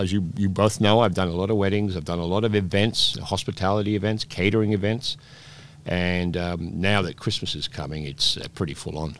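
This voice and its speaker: Deep voiced guy in his sixties, chatty, keeps restarting his sentences. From Scotland, raised in Peru. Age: 60s. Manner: rambling storyteller with many restarts. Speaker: guest, oyster bar operator. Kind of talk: relaxed interview chat.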